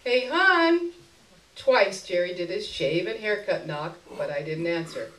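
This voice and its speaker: A woman giving an expressive live reading of a first-person short story, in a literary narration style. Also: lowish voice, moderate pace. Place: indoor stage before an audience. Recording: roomy live stage recording, distant mic.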